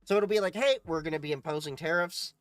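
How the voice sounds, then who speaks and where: A man's voice: fairly high pitch, fast talker, no echo, faint music behind. A man hosting a casual livestream, reading chat questions aloud and giving opinionated answers.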